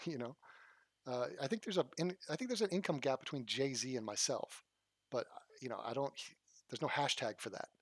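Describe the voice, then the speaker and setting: A man's voice: medium pitch, fairly quick, dry recording. A man hosting his channel, giving blunt, rambling casual commentary and swearing freely. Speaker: channel host reacting to a clip.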